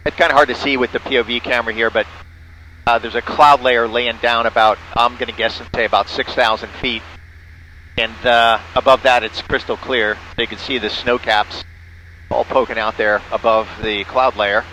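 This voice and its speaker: Bright-voiced man narrating from a small plane. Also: medium pitch, steady tone, normal speed, rough recording. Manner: spells out callsigns in phonetic alphabet. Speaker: pilot and amateur radio operator. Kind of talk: casual in-flight narration and radio calls. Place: small airplane cockpit in flight. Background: faint vehicle engine noise.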